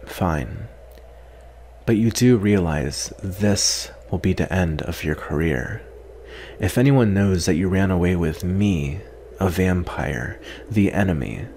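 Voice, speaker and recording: Low, slow-spoken guarded male vampire, acted by a man. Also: a little mellow, slight background noise, full character voice. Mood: resigned, serious. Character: guarded male vampire, weary, wry, secretly tender. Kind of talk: ASMR roleplay, intimate scripted acting.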